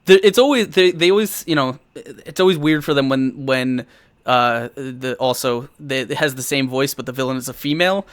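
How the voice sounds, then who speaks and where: A man's voice: medium pitch, moderate pace. A man talking as a guest on a live stream about running tabletop games, eager, repeating words and laughing easily. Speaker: guest game master and streamer. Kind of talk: casual live-stream chat.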